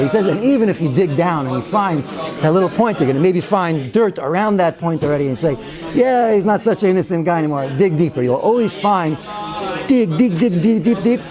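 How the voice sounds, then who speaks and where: A man with a fairly high voice teaches an informal Torah class, circling back and restarting his thoughts and dropping in wry asides. The murmur of listeners gathered in the hall is clearly audible behind him. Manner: chatty and digressive, with frequent restarts and Hebrew and Yiddish terms. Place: synagogue study hall with a group of listeners.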